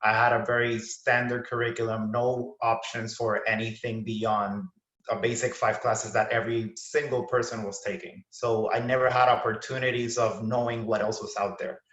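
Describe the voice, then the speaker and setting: A man's voice: medium pitch, little pitch movement, moderate pace, a bit bright. A young man from Puerto Rico on a panel, earnestly telling his story in a conversational way. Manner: earnest, conversational, many fillers. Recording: roomy, dull-sounding video call audio.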